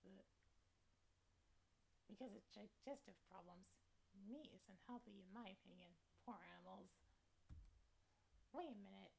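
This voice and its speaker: A woman's voice: a little high, vocal fry, a little mellow, rough recording. A woman doing let's play commentary, reading a visual novel's narration aloud with informal, chatty asides and the occasional stumble over words. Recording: music behind the voice. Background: background music.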